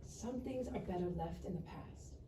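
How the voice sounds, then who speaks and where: A woman playing a gentle, earnest immigrant relative who reasons soothingly, at a live script reading. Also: lowish voice, expressive, moderate pace, natural voice. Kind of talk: live script table read. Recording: roomy distant mic, faint noise.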